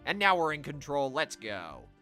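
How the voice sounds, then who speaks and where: A man live streaming a video game, chatting to viewers and commenting in an animated way. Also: fairly high pitch, normal speed, soft background music. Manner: animated, voice-acts game dialogue.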